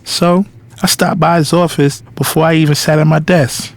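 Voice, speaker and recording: A man's voice: on the high side, fairly even intonation, normal speed, gravelly. A man playing a cocky, lovestruck adult son, telling his own story candidly and intimately in an audio drama. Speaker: narrator and lead character. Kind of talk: first-person audio drama narration and dialogue.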